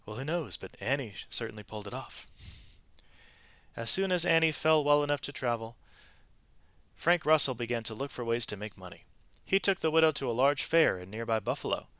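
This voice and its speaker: A man narrating a children's story aloud in an expressive, animated way, with varied emphasis from phrase to phrase. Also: medium pitch, brisk, dry recording.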